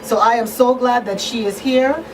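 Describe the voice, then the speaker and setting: A woman's voice: fairly high pitch, fairly even intonation, normal speed. A woman hosting a live call-in radio talk show. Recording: noisy live radio, slightly distant.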